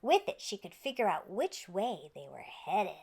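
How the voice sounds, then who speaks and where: A woman's voice: medium pitch, wildly swooping pitch, moderate pace, creaky, a little mellow, breathy edge. A woman hosting a children's read-aloud, narrating a picture book in a playful, exaggerated way aimed at young listeners. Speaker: children's storyteller and host.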